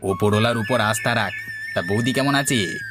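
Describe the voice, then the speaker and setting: A man's voice: fairly low pitch, moderate pace, over music, lightly acted. A man playing a reassuring middle-aged friend in a cartoon story, easygoing and consoling in manner. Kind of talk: cartoon moral-story dialogue.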